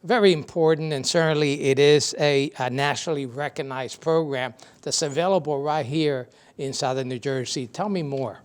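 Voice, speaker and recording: A man hosting a TV interview show, asking relaxed questions with plenty of "uh" fillers. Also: fairly high pitch, moderate pace, few pauses, clean tone. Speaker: TV show host.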